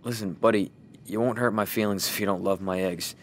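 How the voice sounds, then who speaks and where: A man playing a weary, sardonic single father, gruff but caring, who swears when defensive. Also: fairly low pitch, steady tone, fairly quick, slight background noise, close to an everyday voice.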